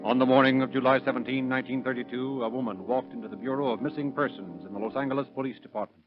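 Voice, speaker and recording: A man announcing and narrating in a formal, declamatory 1930s radio style with measured, scripted phrasing. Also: mid-range voice, fairly even intonation. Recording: dull vintage radio with music.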